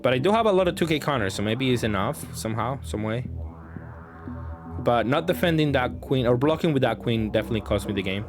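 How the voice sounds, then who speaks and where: A man's voice: medium pitch, expressive, quick pace, over music. A man giving casual, off-the-cuff commentary on his own card game matches, thinking aloud and faulting his own plays.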